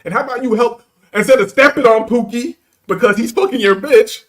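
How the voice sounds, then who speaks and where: A man's voice: very high voice, a little fast. A man hosting a reaction stream, talking bluntly in profane street slang. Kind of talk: casual reaction commentary.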